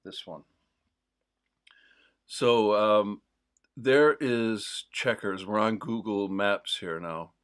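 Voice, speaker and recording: Host, male, mild Newfoundland accent; lowish voice, slow, meandering delivery with frequent fillers. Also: smooth. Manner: digressive, with many pauses and fillers. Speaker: host of a livestream. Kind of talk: casual rambling chat.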